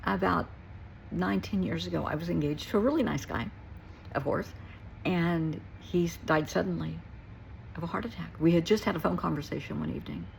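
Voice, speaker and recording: Middle-aged female, lowish register with strong vocal fry, earnest reflective monologue, some background noise. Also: a little fast, rough recording. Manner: earnest, emphatic, repeats short phrases for weight. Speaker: therapist and nurse. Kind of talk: reflective personal talk to listeners.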